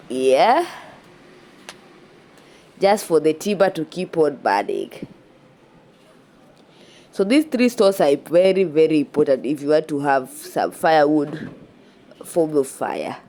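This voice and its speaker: Slow, low-voiced Kenyan woman with a cold. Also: a little hiss or hum. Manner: relaxed and chatty, with frequent "yeah" and restarts. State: slightly stuffy from a cold. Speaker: cooking vlogger. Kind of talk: casual voice-over narration of a cooking video.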